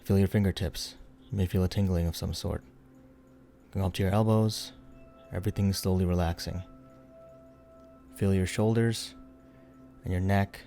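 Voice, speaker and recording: Deep, mellow man guiding a meditation. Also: fairly even intonation. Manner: soothing, unhurried, instructional with pauses. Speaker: guided meditation leader. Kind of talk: guided meditation narration. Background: background music.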